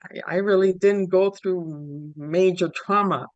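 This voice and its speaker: A woman's voice: lowish voice, slow pace, no echo, lo-fi sound. A talkative woman from Cuba, a guest casually retelling a personal experience with repeated words for emphasis. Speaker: stroke survivor guest.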